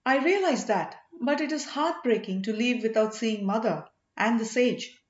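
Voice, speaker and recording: A woman's voice: on the high side, moderate pace, close to an everyday voice. A woman voicing an ardent, grateful young king in exile with earnest, formal speech, as in a storybook. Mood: heartbroken. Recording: roomy, slightly dull home recording.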